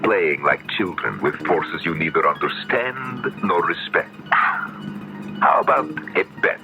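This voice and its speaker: A sinister devil-worshipping writer in a vintage radio drama. His voice is extremely low and gravelly, with formal, deliberate, menacing phrasing. The recording is dull and a little hissy. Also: expressive, over music, heavily acted. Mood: smug, taunting. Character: sinister demon-worshipping male author, smug and menacing. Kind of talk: 1970s radio drama acting.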